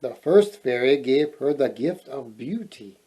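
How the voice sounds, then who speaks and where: Slow-paced, mellow man reading a storybook. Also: medium pitch, slightly rough, low-quality recording. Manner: playful, digressive, expressive reading. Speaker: storyteller host. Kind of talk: storybook reading with casual chatty asides.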